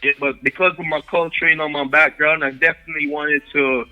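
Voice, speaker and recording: A young man with a strong Jamaican accent talks easygoingly as an interview guest, and he leans on "you know what I mean" again and again. His voice sits a little high and sounds very bright and forward. Faint music plays underneath. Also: flat delivery, brisk, dull audio. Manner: laid-back, keeps saying "you know what I mean". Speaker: guest artist. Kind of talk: casual interview chat.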